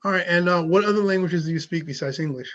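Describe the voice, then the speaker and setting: A man's voice: high voice, fast talker, a bit bright. A man hosting an interview, asking short upbeat questions to keep the guest talking. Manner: brief, upbeat prompting questions. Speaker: podcast host, realtor. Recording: dull lo-fi sound.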